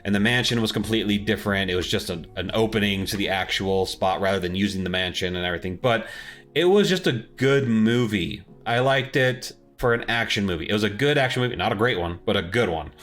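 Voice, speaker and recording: A man's voice: fairly low pitch, fast talker, a bit bright. A young man co-hosting a casual podcast, rambling and restarting his sentences as he searches for words. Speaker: podcast co-host. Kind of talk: casual chat.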